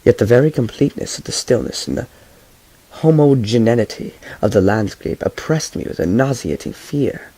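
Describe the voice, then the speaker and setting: A young man reading a horror story aloud in a slow, measured first-person voice, stumbling now and then over long words. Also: medium pitch, lively intonation, slightly rough, poor audio. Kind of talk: amateur audiobook reading. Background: loud background music.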